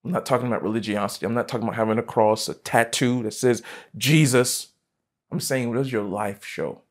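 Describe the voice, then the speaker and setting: A man in his thirties, a pastor preaching a sermon from a church stage, fervent and emphatic, jumping from loud bursts to quiet asides. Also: mid-range voice, steady tone, brisk. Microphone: headset mic.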